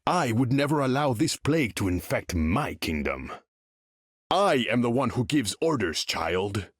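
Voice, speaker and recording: A man's voice: mid-range voice, big pitch swings, measured pace, husky, no echo, clean studio sound. An actor playing a proud, imperious king of an ocean empire in a video game, haughty, formal and grandiose. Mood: imperious, menacing. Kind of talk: video game character voice lines.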